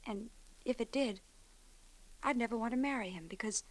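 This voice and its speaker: A woman's voice: on the high side, moderate pace, lightly acted. An actress playing an earnest, devoted young woman in a vintage radio melodrama, with a breathless, pleading, emotional delivery. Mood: devoted, firm. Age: young adult. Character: earnest, devoted young bride-to-be longing for her father. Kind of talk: 1940s-50s radio melodrama acting.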